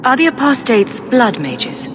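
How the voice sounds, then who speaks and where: An actress playing a wry, defiant heroine in a video game, with a dry, sardonic delivery. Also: female voice, a little high, very animated, unhurried, background noise. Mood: challenging. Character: wry, defiant female adventurer hero.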